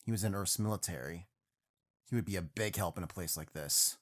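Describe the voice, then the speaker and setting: A man's voice: deep voice, a little fast. A man playing an earnest, kindly prince and masked hero in an audio drama.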